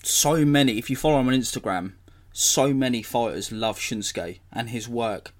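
A hoarse man, hosting a casual solo podcast and rambling as he corrects himself. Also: mid-range voice, moderate pace. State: hoarse from illness. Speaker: podcast host.

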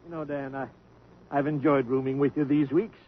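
An actor playing a wisecracking, meddling boarder in a 1950s radio comedy, with a dry, quipping delivery. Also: male voice, medium pitch, steady tone, normal speed, breathy edge, faint music behind, natural voice. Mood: dryly amused. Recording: muffled, noisy old radio broadcast.

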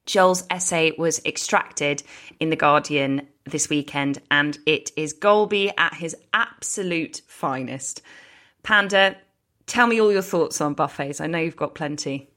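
A woman's voice: low-pitched, unhurried, a bit bright. A woman with a British accent, co-hosting a podcast in a casual, conversational style. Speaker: podcast host.

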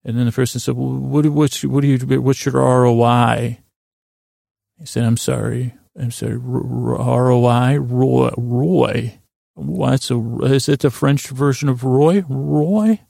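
Male host for a sleep podcast: very creaky, flat delivery, wandering and halting, dryly absurd. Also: mid-range voice, dry recording, clean studio sound. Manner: meandering, halting, with stammered restarts and trailing tangents; absurd, self-deprecating deadpan humour. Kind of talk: rambling bedtime podcast monologue.

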